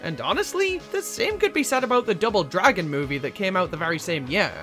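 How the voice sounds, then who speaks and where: A woman's voice: fairly low pitch, very animated, fast talker, fairly bright tone, soft background music. A woman delivering scripted video essay commentary as a reviewer, opinionated and emphatic in a casual way.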